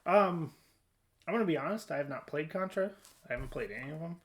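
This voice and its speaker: A man's voice: on the high side, lively intonation, fast. A man hosting a podcast, chatting casually in an informal, blunt way. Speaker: podcast host. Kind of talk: casual chat.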